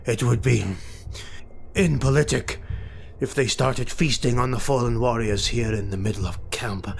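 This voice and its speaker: A man voicing a calculating dark elf warrior lord, measured, commanding and coolly formal, in a fantasy audiobook. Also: mid-range voice, steady tone, vocal fry, slight background noise, natural voice. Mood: weary command.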